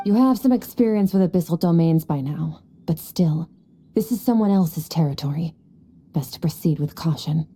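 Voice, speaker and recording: An actress in a video game playing an aloof, coolly commanding woman warrior mentor, detached and measured. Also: sits low, expressive, mellow, breathy edge. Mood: calm, instructive.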